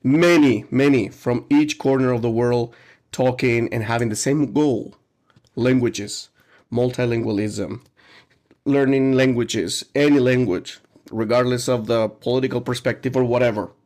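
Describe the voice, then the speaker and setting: An eager, jokey man hosts a casual podcast with teachers, talking warmly over his guest and laughing often. His voice sits at an ordinary middle pitch. He leans into questions with lively, upbeat energy. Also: a little slow. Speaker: podcast host.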